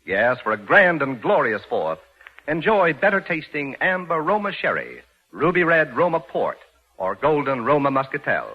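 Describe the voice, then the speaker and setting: Dull vintage radio, lively American ad man. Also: a little high, slightly rough. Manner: polished, measured salesmanship. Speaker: commercial announcer. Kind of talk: 1940s radio advertisement, scripted.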